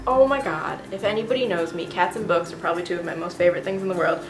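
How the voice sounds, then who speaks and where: A woman's voice: on the low side, animated, fast. A young woman with a stuffy, sniffly cold, chatting casually and gushing about her monthly favorites at home. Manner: chatty and gushing, with many fillers. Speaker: beauty vlogger. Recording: roomy echo with music behind.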